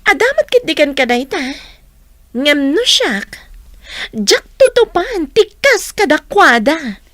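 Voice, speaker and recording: A woman acts out a blunt, nosy village mother with an Ilocano accent. Her fairly high voice rises and falls freely as she probes, in radio comedy drama style. The sound is dry and close. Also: big pitch swings, slow pace, a bit bright, no room sound, full character voice. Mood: scolding, teasing.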